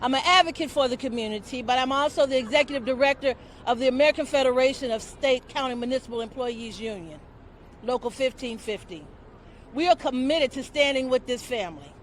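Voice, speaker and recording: A woman, a union director and community advocate, gives a loud, forceful rally speech with a preacherly cadence. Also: high-pitched, fairly quick, slight background noise.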